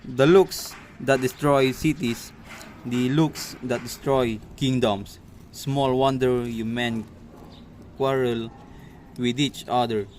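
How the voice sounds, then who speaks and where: A man playing a stern, commanding magistrate in an amateur radio drama, questioning witnesses in a formal, deliberate way. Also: medium pitch, fairly even intonation, a little mellow, a little hiss or hum, close to an everyday voice.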